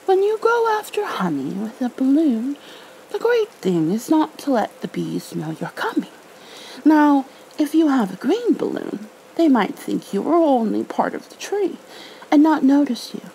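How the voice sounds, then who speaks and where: A woman's voice: high voice, big pitch swings, measured pace, creaky, warm tone, voice pushed up, heavily acted, poor audio. A woman voicing a naive, earnest, honey-loving little male bear from a storybook, musing in a singsong way. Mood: earnest, scheming. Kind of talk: storybook read-aloud character voice. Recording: faint background noise.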